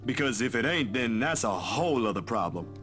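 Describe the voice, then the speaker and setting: Easygoing young man, acted, medium pitch. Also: animated, a little fast, fairly bright tone, faint music behind, lightly acted. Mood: teasing, easygoing. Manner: casual, reassuring. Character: easygoing, teasing, supportive male photographer friend. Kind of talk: visual novel voice acting.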